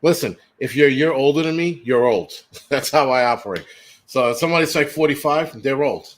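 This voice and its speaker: A man's voice: medium pitch, a little fast. A man in his forties hosting a casual livestream chat, teasing and swearing freely while reading viewer comments aloud. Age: 40s. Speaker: livestream host.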